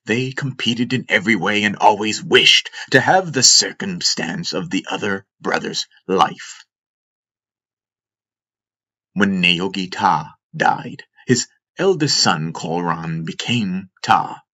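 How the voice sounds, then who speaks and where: A man narrating a fantasy audiobook in a solemn, deliberate storytelling manner with dramatic emphasis. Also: sits low, pushed and bright.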